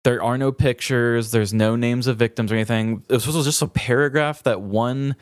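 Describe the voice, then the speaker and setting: A man co-hosting a podcast in a basement studio, chatting in a relaxed way with trailing fillers. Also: mid-range voice, normal speed, smooth, dry recording. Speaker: podcast co-host and storyteller. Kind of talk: casual podcast chat. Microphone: studio mic.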